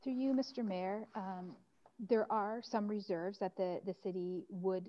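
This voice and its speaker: A woman's voice: mid-range voice, steady tone, measured pace, dull audio. A woman finance official answering formally through the mayor, with hesitations. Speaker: finance director.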